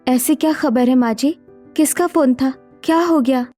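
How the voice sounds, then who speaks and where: Casting: actress as poor young housewife, very high voice, anxious and exclamatory, cartoon melodrama. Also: female voice, moderate pace, warm tone, soft background music. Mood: curious. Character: young poor daughter-in-law, anxious and dramatic. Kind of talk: Hindi cartoon story dialogue.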